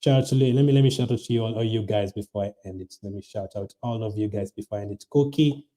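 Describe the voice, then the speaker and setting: Rambling young man, mellow voice. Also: lowish voice, fast. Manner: rambling, many ums and restarts. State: tired late at night. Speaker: fantasy football channel host. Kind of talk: casual livestream chat reading viewer comments.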